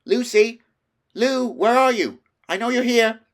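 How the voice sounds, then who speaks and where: A man's voice: very high voice, a little slow, voice pushed up. A man voicing a petulant, awkward young schoolboy in a storybook reading. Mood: calling out.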